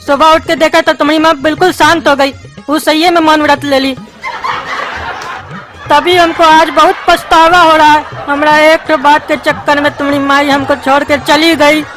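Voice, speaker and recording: A woman's voice: high-pitched, little pitch movement, normal speed, close to an everyday voice. A woman voicing a sentimental, doting widowed father in a comic cartoon, with a Bihari accent and a plaintive, folksy delivery. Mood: wistful, regretful. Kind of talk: cartoon skit dialogue. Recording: music behind the voice.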